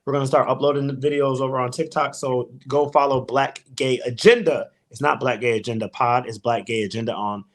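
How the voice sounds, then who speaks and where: A man's voice: mid-range voice, steady tone. A man hosting a podcast, upbeat and promotional, leading the chat and asking the others for updates. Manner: upbeat, promotional, quick asides. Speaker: podcast host.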